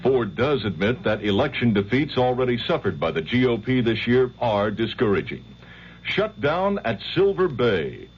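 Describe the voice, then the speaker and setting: A man anchoring an hourly radio newscast, reading in a crisp, measured news delivery. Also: mid-range voice, big pitch swings. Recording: dull old radio broadcast with faint hiss.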